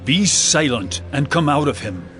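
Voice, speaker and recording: A man playing a solemn, authoritative preacher with measured, earnest, declamatory speech in a dramatized scripture reading. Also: fairly high pitch, animated, fairly bright tone, soft background music. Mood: commanding.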